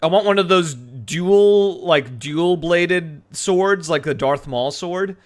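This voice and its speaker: A man's voice: on the high side, slow pace. A man commentating casually on a game livestream, joking with a sarcastic, theatrical manner. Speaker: streamer and voice actor.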